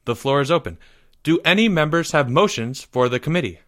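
A man's voice: a little high, expressive, a little fast, fairly bright tone, pitched above the performer's own voice. Man playing an officious schoolboy club president. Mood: businesslike. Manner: formal, firm, businesslike.

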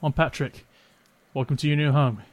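An actor playing a friendly prison cellmate in an audio drama, husky, gentle and comforting. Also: male voice, a little high, steady tone, moderate pace, no echo, lightly acted.

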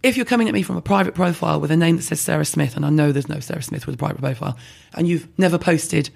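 A woman with a husky, heavily gravelly voice talks fast and bluntly. She is animated and self-deprecating, trading candid views in a podcast conversation. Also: deep voice. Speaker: skincare blogger guest.

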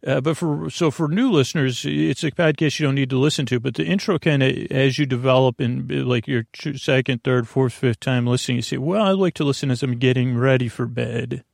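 A man with a creaky, gravelly voice at an ordinary male pitch rambles through a soothing bedtime podcast monologue. He speaks haltingly and wanders off into digressions. The sound is close and dry. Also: no room sound. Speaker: podcast host.

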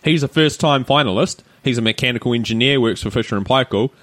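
A man's voice: mid-range voice, quick pace, a bit bright, no echo. A man co-hosting a podcast, chatting casually, joking, teasing and laughing often. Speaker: podcast co-host. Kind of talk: casual chat.

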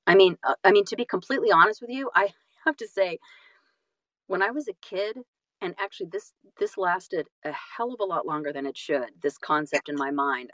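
A woman talking reflectively as an interview guest, animated and self-deprecating, often halting and repeating phrases for emphasis. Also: medium pitch, dry recording. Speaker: guest, audiobook narrator and voice-over artist.

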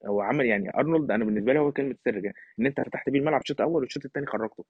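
A man's voice: mid-range voice, racing, breathy edge. An Egyptian man giving casual, emphatic football analysis in Egyptian Arabic, as an opinionated podcast guest. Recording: thin phone-like line, slightly roomy.

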